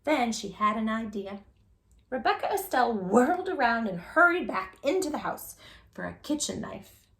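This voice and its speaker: Lady reading a kids picture book out loud, bit of vocal fry, playful asides to the little listeners. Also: a little high, normal speed. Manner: playful, animated, addressing children directly. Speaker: children's storytime reader and host. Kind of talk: expressive picture-book read-aloud with asides to young listeners.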